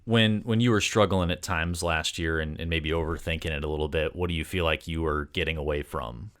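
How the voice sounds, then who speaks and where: A man's voice: extremely low pitch, steady tone, fairly quick, a bit bright. A man interviewing as a sports podcast host, conversational, with wordy questions that meander through several parts.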